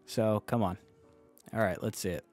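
A young man with a hoarse, fading voice from a cold, casually commenting on his own online case-opening battles, chatty and excitable, then dropping to a mutter. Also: lowish voice, moderate pace. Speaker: online gambling video creator. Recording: faint music behind.

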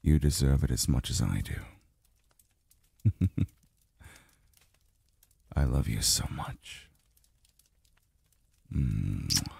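Guy doing a super low gravelly boyfriend asmr voice, slow soft murmur, tender and devoted. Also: lively intonation, dry recording, full character voice. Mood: loving, grateful. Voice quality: very deep, breathy, gravelly. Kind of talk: intimate ASMR roleplay. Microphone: close mic, dry sound.

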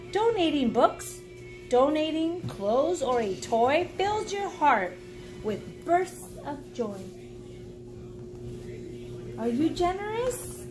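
A woman in her forties reading a children's book aloud like a teacher, encouraging and pausing to explain and ask the listener questions. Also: high voice. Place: small indoor room at home.

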